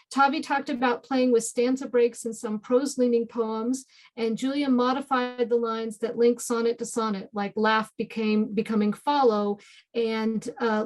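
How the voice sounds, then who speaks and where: A woman's voice: a little high, a little mellow, rough recording. A woman moderating a panel, reading an audience question aloud in a friendly way. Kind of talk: hosting a panel Q&A.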